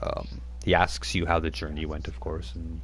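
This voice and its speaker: A man's voice: very deep voice, little pitch movement, normal speed, a bit bright. A man narrating a tabletop game as game master, rambling with many fillers and restarts.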